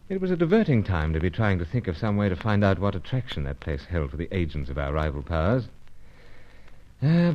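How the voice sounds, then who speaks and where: Low-voiced suave secret agent. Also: male voice. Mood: wry, reflective. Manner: urbane, hesitant, wry. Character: suave, bantering British male secret agent. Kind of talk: old-time radio serial acting, dialogue and narration. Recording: dull lo-fi old radio recording.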